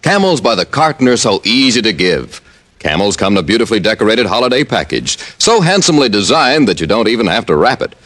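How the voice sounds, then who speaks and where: A man's voice: on the low side, sing-song, quick pace, no room sound. An American man announcing sponsor messages and credits in 1950s radio style. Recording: vintage radio broadcast, loud music behind.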